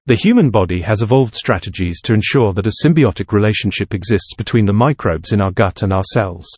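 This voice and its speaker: A man's voice: lowish voice, brisk, darkish tone, no echo. A man reading a scripted academic passage aloud for language test practice.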